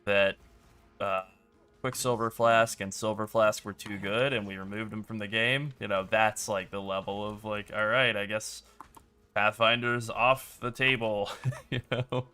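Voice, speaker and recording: A man's voice: on the low side, a little slow, slight background noise. A man streaming a video game, commenting casually as he plays, with a rambling delivery full of "like.". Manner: relaxed, rambling, many "like" fillers.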